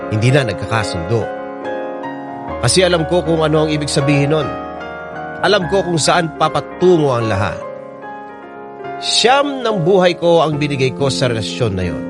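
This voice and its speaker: A man with a Filipino accent playing an earnest, hurt young boyfriend in a radio drama. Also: fairly high pitch. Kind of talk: radio drama acting with first-person narration. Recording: music bed under the voice.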